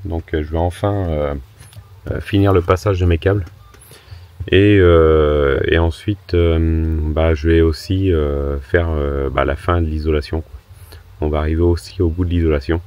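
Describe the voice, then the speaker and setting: A hoarse man, a little sick, chatting casually as he explains his work on a converted bus, with lots of hesitant filler sounds. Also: extremely low pitch, steady tone, measured pace, no echo, muffled recording. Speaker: vanlife vlogger. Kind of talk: casual DIY vlog talk.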